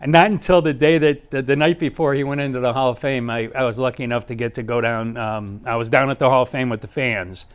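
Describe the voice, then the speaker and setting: A middle-aged man with a clear, smooth voice at a medium pitch tells stories casually as an interview guest. He rambles and circles back, repeating his phrases like a fan reminiscing. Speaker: guest, sports superfan. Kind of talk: casual anecdotal storytelling.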